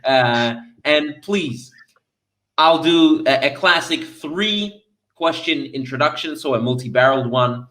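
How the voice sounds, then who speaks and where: A male moderator runs an online panel over a video call. His voice is mid-pitched, and he keeps things upbeat and chatty with playful asides. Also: expressive, slow pace, pushed and bright.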